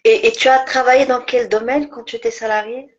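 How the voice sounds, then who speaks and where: A woman's voice: a little high, fairly even intonation, brisk. A woman hosting a podcast, interviewing a guest in a conversational way over a lo-fi online connection. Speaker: podcast host.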